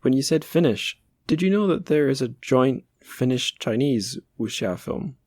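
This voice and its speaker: A man's voice: medium pitch, expressive, moderate pace, a little mellow, no echo, studio quality. A man hosting a podcast in casual interview chat, informal and a little self-deprecating. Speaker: podcast host.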